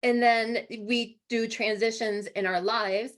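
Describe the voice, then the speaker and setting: Slightly halting, hoarse woman co-hosting. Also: a little high, fairly even intonation. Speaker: co-host and interviewer. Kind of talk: conversational interview. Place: remote video call.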